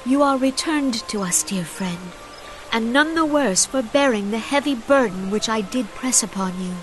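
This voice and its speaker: A woman's voice: on the high side, expressive, normal speed, lightly acted, low-quality recording. A woman voicing a gracious, earnest leader of a forest nation in a fantasy video game, speaking with solemn, archaic formality. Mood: gentle concern. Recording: loud music under voice.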